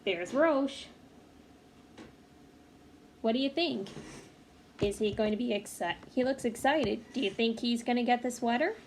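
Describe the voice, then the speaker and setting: A woman with a fairly high voice reads a children's picture book aloud. She is expressive and speaks as if to young listeners. There is some faint background noise in the recording. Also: unhurried, long pauses. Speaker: read-aloud storyteller. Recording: clearly noisy home recording.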